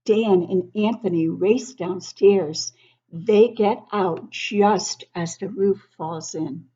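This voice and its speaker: A woman reading a picture book aloud to young children, expressively, pausing to explain things and ask the listeners questions. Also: mid-range voice, warm tone, husky, muffled recording. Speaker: children's book club host and reader.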